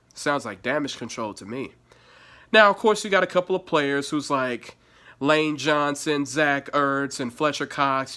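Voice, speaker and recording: A man's voice: a little high, fairly bright tone. A man with a stuffy nose from allergies, giving blunt, profane sports commentary and reading an article aloud.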